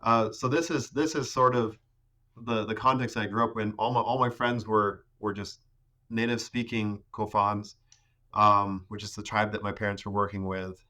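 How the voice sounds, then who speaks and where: A man in his thirties, a podcast guest, talking casually about growing up in the rainforest, with many pauses and restarts. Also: fairly low pitch, level tone, sharp tone. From Ecuador. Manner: hesitant, many fillers and restarts. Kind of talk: casual conversation.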